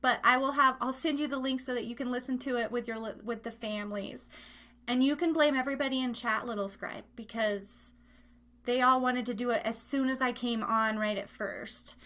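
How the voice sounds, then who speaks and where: A woman with a fairly high voice hosts a casual live radio show. She speaks playfully and loosely, wandering off and interrupting herself. She addresses her listeners directly, as if chatting with them. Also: steady tone, moderate pace, fairly bright tone. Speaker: live radio and podcast host.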